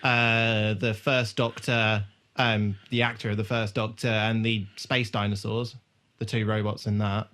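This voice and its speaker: A man's voice: on the low side, little pitch movement, measured pace, a bit bright. A man co-hosting a podcast, chatting casually and jokily about a TV episode with plenty of swearing. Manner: sweary, jokey. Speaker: podcast co-host. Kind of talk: casual chat recapping a TV episode.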